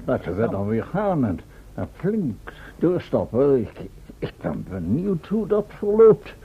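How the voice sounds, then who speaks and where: A man's voice: mid-range voice, wildly swooping pitch, takes it slowly, slight background noise, full character voice. An actor in a Dutch radio play playing a curious, hesitant professor who is easily amazed and repeats his words. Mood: curious, wistful. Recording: dull old radio recording.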